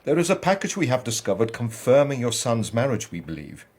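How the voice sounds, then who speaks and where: A genial period gentleman, urges and advises in formal, declamatory phrases. The voice is husky and middle-pitched, with lively rises and falls, in radio drama style. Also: brisk. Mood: courtly, measured. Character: genial, courtly gentleman, hearty mentor to young man.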